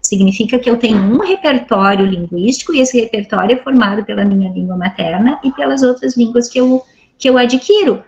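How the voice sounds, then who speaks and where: A woman's voice: on the high side, brisk, a little mellow, lo-fi sound. A middle-aged woman from Brazil giving an academic lecture over a video call, explaining patiently and checking in with frequent tag questions. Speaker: guest lecturer.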